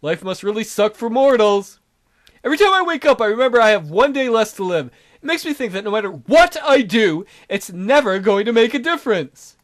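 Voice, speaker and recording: A man's voice: sits high, very animated, fairly quick. A man voicing a self-absorbed, fatalist god who complains in a dramatic way. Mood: gloomy, melodramatic.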